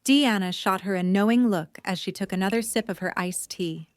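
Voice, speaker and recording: A woman's voice: mid-range voice, normal speed, dry recording, studio quality. A woman reading scripted story narration for an audio drama.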